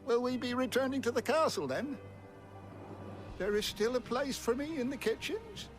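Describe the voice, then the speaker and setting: A man's voice: extremely high pitch, expressive, soft background music. A man acting a frail, devoted old servant in a video game, humble and reverent in manner. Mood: hopeful. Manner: slow, reverent.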